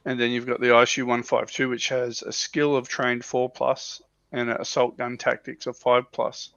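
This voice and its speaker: A man's voice: mid-range voice, monotone, moderate pace, creaky, a bit bright, dry recording. A man co-hosting a livestream, casually explaining tabletop game units as an informal, somewhat hesitant enthusiast on slightly dull audio. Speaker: livestream co-host.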